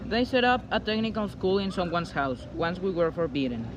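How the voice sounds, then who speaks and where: Man acting shy teenage boy, high voice. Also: sing-song, fairly quick, background noise. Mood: reserved. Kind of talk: amateur stage dramatic reading.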